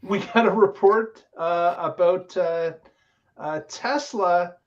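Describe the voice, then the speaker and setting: A man's voice: high-pitched, slow pace, muffled recording. A man hosting a casual podcast, talking through the week's news with many filler words. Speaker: podcast host.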